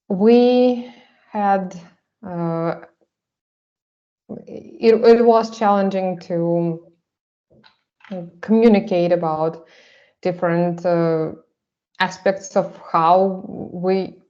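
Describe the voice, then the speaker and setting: Slow, halting woman. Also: lowish voice, fairly even intonation, mellow, dull audio. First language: Russian. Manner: halting, hesitant, frequent pauses. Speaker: artist presenting her work. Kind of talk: panel presentation.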